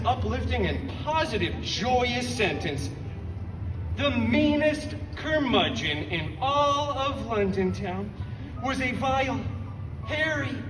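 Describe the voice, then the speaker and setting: An actor playing a wisecracking masked male mercenary who tells a story with sarcastic, animated jokes at a live theme-park show. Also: high-pitched, background noise. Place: outdoor theme-park stage. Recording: roomy outdoor stage sound from far off, music.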